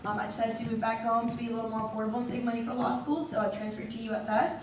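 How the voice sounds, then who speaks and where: A woman's voice: fairly high pitch, level tone, very fast. A woman in her twenties from Venezuela introducing herself as a student nominee, upbeat. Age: 20s. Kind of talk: self-introduction speech. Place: large echoey meeting hall. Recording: echoey hall, far from the mic, noisy.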